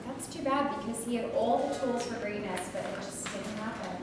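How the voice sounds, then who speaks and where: A woman's voice: fairly high pitch, moderate pace, natural voice. Woman acting an earnest reporter, very echoey hall. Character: earnest special correspondent reporting sports news. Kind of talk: staged reading of a scripted broadcast. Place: hall at a staged reading. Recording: very echoey hall, distant mic, clear noise.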